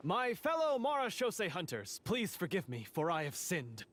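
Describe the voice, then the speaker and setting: A flustered young scriptwriter. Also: male voice, high voice, huge pitch swings, fairly bright tone, slight background noise, exaggerated voice. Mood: grandiose. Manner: halting, stammers, lapses into pompous speechifying. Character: nervous young man, sheepish schemer turned theatrical. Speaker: scriptwriter character in a game. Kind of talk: video game voice acting.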